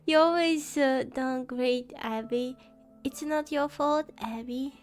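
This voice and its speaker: Female game streamer: high, unhurried, casual and soft-hearted chatter with light music underneath. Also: steady tone. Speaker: livestreamer. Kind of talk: casual game stream chat, reading along.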